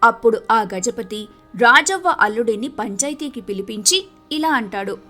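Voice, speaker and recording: A woman's voice: a little high, expressive, moderate pace, bright, forceful tone, faint music behind. A woman narrating a scripted moral story as its storyteller.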